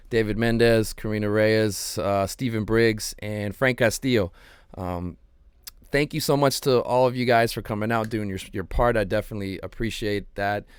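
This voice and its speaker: A man hosting his own show, chatting casually and rambling with lots of ums and slang, his voice slightly hoarse. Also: fairly low pitch, dry recording, clean studio sound. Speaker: podcast host. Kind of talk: casual solo livestream chat.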